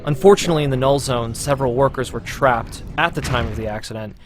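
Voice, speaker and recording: A man giving gameplay walkthrough commentary as a producer presenting a demo, in an explanatory, measured manner. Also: medium pitch, music in the background. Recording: clear game sound noise behind.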